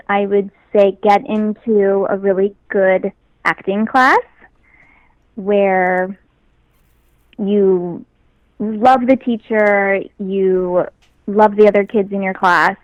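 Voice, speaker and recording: A young woman with a high voice chats easily as a guest, laughing now and then as she tells her stories. Her voice sits in a middle range for a woman and sounds close and dry, with no room around it. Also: slow, no echo, lo-fi sound. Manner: easygoing, laughs often, talks about herself in a light way. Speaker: actress guest. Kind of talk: casual interview chat.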